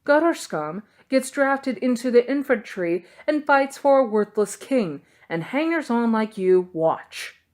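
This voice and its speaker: A woman's voice: a little high, expressive, a little slow, a little mellow, voice pushed up. Woman as a cocky, sardonic young thief. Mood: defiant. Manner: dry, needling. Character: cocky, sardonic young male thief, defiant and quick-witted.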